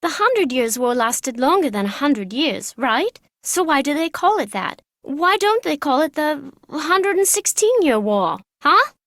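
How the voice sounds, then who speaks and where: A woman's voice: sits high, big pitch swings, measured pace, fairly bright tone, dry recording, full character voice. A woman voicing a playful, mischievous British schoolgirl in a video game, chatty and childlike. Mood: inquisitive, doubtful. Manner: childlike, informal, bargaining. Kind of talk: video game character dialogue.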